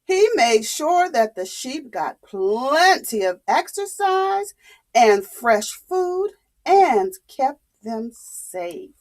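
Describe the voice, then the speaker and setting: A woman telling a story to young children in a dramatic, expressive, deliberate way. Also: high voice.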